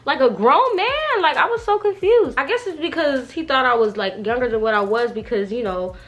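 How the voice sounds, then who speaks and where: A woman's voice: high-pitched, lively intonation, normal speed. A young woman telling a story in a casual, slangy, gossipy way, acting out bits of dialogue as she goes. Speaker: vlogger. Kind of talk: animated casual storytime chat.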